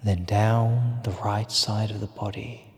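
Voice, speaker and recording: A man with a fairly low voice guides a relaxation session very slowly. His delivery is hushed and soothing, with measured instructions that trail into breath at the ends of phrases. A long reverb hangs over every word. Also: fairly even intonation. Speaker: guided meditation narrator. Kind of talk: slow guided relaxation script.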